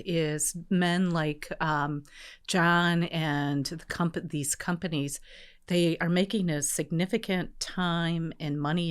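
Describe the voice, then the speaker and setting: A woman's voice: low voice, clear, resonant tone. A woman in her fifties from the Midwest, a trade group executive answering interview questions earnestly. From Detroit, US Midwest. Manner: earnest, explanatory, frequent agreeing.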